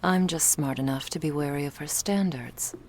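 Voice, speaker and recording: A woman's voice: sits low, moderate pace, a little hiss or hum. A woman playing a stern, protective female vampire bodyguard in an ASMR roleplay, cool and clipped, often close to a whisper. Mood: wary, low-key.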